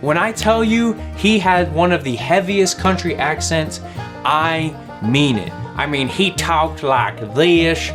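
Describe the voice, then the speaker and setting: Animated young man teaching kids. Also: on the high side, slow pace, over music. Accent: Southern US. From Louisiana. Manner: animated, playful, asks questions. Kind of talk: kids object lesson.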